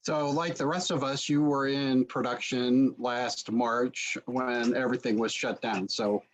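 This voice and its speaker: A middle-aged American man with a medium-pitched voice conducts an interview. He puts his questions conversationally, speaking over a video call from a room at home. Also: steady tone, a little slow, low-quality recording.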